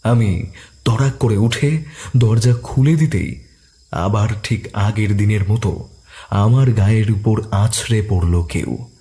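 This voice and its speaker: A man narrating a detective story in a steady, expressive way. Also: lowish voice, takes it slowly, pauses often.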